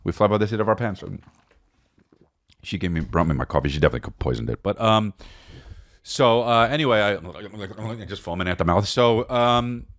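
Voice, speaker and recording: Man, American accent, comedic podcast monologue, swooping pitch, rambling and repetitive, casual, close dry recording. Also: normal speed, no echo. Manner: animated, rambling, repeats phrases for emphasis, swears freely. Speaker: podcast host telling personal stories.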